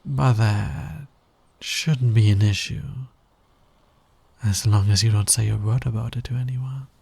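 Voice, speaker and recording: Man acting a slow, husky, flirtatious villain. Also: on the low side, steady tone, a little mellow, no room sound, full character voice. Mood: sly teasing. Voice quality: breathy, husky, often dropping to a whisper. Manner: slow, teasing, deliberate delivery. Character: flirtatious, teasing male fantasy villain, dominant and sly. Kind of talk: intimate ASMR roleplay, romantic audio drama.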